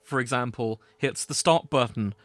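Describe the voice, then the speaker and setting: A man's voice: mid-range voice, moderate pace, heavy background noise. A man hosting a podcast in a casual, chatty style. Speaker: podcast host.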